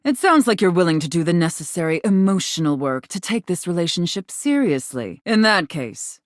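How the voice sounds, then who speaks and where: A woman's voice: lowish voice, sing-song, moderate pace, dry recording, studio quality. A woman voicing a haughty, domineering mistress character in a video game, imperious and scornful, savoring key words. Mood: exasperated, candid. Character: haughty, domineering female safe with a dominatrix persona.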